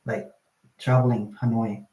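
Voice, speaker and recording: A man's voice: medium pitch, unhurried. A man from Thailand, chatting casually on a video call through his phone, with lots of fillers. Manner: relaxed, chatty, many fillers like "like" and "you know". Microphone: phone on a video call, no external mic. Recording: roomy video call audio.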